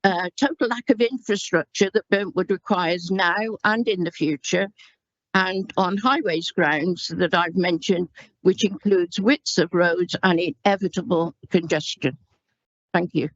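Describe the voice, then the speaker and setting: A woman councillor speaks in a council chamber, pausing often and restarting her sentences. The sound is dull and dry, like a meeting microphone. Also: lowish voice, no room sound. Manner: halting, many ums and restarts. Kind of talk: formal committee speech.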